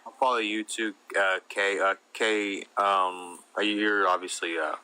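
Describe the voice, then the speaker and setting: A man's voice: fairly low pitch, fairly even intonation, unhurried, bright, forceful tone, clear, resonant tone, rough recording. A man in his thirties on a debate panel who talks in a wordy, wry, dismissive way. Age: 30s. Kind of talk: casual debate chat.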